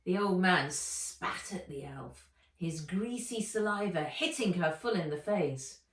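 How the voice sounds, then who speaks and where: A woman narrating a children's adventure book aloud in an expressive, dramatic and deliberate way. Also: fairly low pitch. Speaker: author reading own book aloud. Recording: echoey room, distant microphone.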